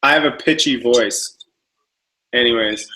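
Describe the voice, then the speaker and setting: A tired man co-hosting a live-stream talk show over a video call, quick and self-mocking. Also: mid-range voice, bright, forceful tone, rough recording. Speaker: co-host. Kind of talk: casual live-stream chat.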